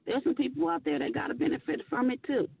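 A hoarse woman co-hosting a casual radio talk show, earnest and animated, laughing often. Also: sits high, quick pace. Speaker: co-host. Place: radio studio. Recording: tinny phone-like radio audio.

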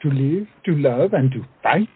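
Haughty bookish aristocrat male actor, disdainful period-comedy delivery, animated and rough-edged, thin phone-band audio. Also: fairly high pitch, normal speed. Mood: proud. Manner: pompous, disdainful, formally worded. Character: haughty, bookish French aristocrat, poet and swordsman. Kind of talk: theatrical comic period audio drama. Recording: muffled, tinny phone-like sound.

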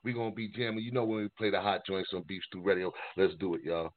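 A man's voice: deep voice, quick pace. A man hosting a music radio show in a casual style. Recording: narrow, tinny phone-like sound.

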